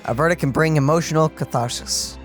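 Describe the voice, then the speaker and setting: A man's voice: fairly high pitch, brisk, loud music behind the voice. A man co-hosting a let's play, chatting casually as he comments on the game. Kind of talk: casual gaming commentary, reading along.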